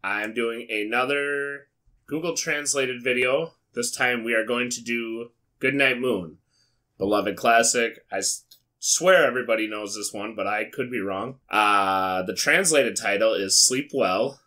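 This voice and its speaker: An American man hosting a comedic read-aloud, dropping wry, sweary, deadpan asides in a casual way. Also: medium pitch, unhurried. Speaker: YouTube host. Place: small indoor studio with foam panels.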